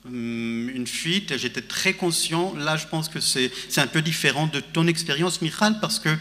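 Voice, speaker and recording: A man's voice: fairly high pitch, normal speed, pushed and bright. A man, a panel guest speaking thoughtfully at a literary festival, with many fillers and restarts. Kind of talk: panel discussion. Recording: reverberant hall.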